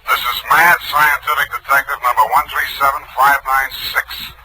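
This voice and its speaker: An actor playing a flippant, hard-boiled private detective on the telephone in a noisy 1940s radio drama. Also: male voice, mid-range voice, flat delivery, normal speed. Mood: breezy. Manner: flippant, breezy.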